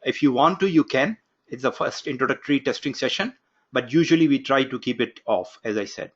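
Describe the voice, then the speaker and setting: A man opening an online course from his home office, giving instructions briskly and calling on participants one by one. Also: medium pitch, dull audio. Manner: brisk, instructive, takes roll call. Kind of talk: live online class introduction. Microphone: video call.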